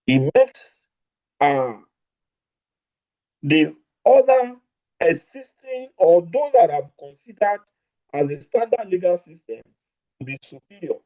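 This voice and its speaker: A man delivers an online law lecture, pressing slowly and deliberately on key words. His voice sits a little high for a man. The sound comes over a dull, lo-fi network connection. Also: sing-song. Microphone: remote call over network.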